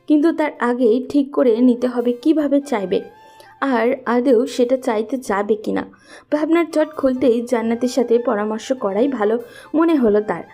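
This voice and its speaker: A woman's voice: a little high, warm tone. A woman narrating a scripted romantic story in a storyteller's manner. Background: faint background music.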